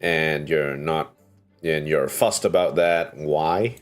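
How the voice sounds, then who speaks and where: A man's voice: very deep voice, slow pace, faint music behind. A man giving casual livestream commentary on a game, hesitant and musing aloud.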